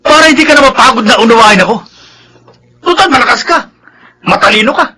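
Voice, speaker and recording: An actor playing a defensive, philandering husband in a Filipino radio drama, with a Filipino accent. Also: male voice, very high-pitched, expressive, fast, background noise. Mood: heated, resentful. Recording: music bed under the dialogue. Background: music.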